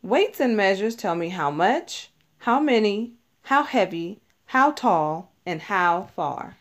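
A woman narrates a children's picture book in a mid-pitched voice. Her delivery is unhurried and instructional, with very varied intonation. She places clear emphasis on the important words. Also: animated. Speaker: read-aloud narrator.

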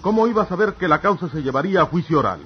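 An actor playing a defiant, self-justifying young rural suitor in an old radio drama. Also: male voice, high-pitched, animated, very fast. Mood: protesting. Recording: dull, noisy old radio recording.

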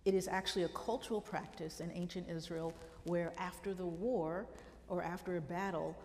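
A woman's voice: on the low side, moderate pace. Woman lecturing in a reverberant hall. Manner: animated, gesturing, emphatic lists. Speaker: biblical scholar and lecturer. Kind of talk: conference lecture. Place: church hall stage, large room. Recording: long hall reverb, faint room noise.

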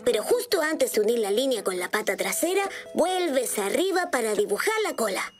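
A woman's voice: on the high side, big pitch swings, moderate pace. A woman with a Latin American Spanish accent voicing a cheerful, helpful young cartoon rabbit boy who gives simple drawing instructions. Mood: helpful, instructive. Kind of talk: children's cartoon dub, step-by-step drawing instruction. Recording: music behind the voice.

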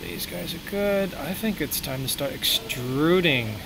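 A man's voice: fairly high pitch, animated, a little slow, gravelly, noisy background. A young man thinking aloud with many fillers while he works on a live stream from a small room at home. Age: young adult. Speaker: streamer modeling a prop. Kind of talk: casual live-stream commentary while working.

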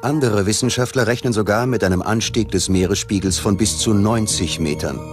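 A man's voice: on the low side, fairly quick. A man narrating a scripted documentary in a dramatic, ominous manner. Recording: loud music behind the voice. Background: music.

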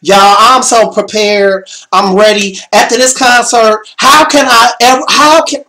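A young man, slightly hoarse, excitedly recapping a concert in a casual bedroom vlog, swearing and repeating himself. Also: very high voice, a little slow, slight background noise. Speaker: vlogger. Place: small bedroom.

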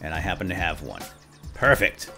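A man's voice: low-pitched, big pitch swings, moderate pace, vocal fry, pushed and bright, soft background music. A man hosting a gaming let's play, giving playful, exuberant commentary as he talks to himself and viewers. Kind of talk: playful game commentary with light role-play.

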